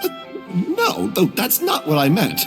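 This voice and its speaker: A man's voice: high-pitched, huge pitch swings, unhurried, over music, full character voice. A man playing a nervous, modest theatre troupe member in a video game, flustered and hesitant.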